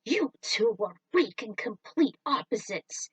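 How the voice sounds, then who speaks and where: A woman's voice: fairly high pitch, sing-song, measured pace, dry recording. A woman voicing a haughty, sneering male alien crime lord in a storybook reading, recorded from a distance. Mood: scornful.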